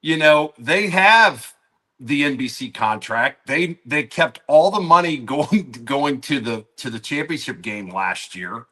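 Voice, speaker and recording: Bright-voiced middle-aged man from Georgia. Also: on the high side, animated, unhurried. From Atlanta, Georgia. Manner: hedging, self-correcting, talks in restarts. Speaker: guest. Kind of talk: casual sports talk.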